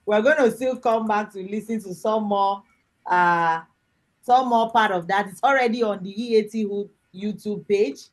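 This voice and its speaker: A woman informally hosting an online event in a halting, encouraging way. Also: mid-range voice. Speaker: host, course lead introducing student work. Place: online call.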